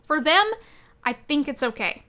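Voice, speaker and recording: Female podcast co-host, fairly high voice, blunt and opinionated, conversational. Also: lively intonation, unhurried, bright, forceful tone. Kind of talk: casual discussion podcast chat.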